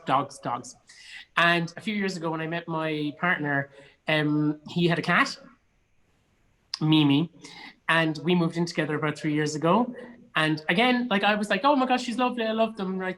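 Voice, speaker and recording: A man's voice: fairly high pitch, normal speed, bright, forceful tone, poor audio. A man with an Irish accent chatting casually and enthusiastically as a guest in an online conversation, speaking from a studio. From Cork, Ireland. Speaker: guest, actor and theatre producer. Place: indoors in a studio.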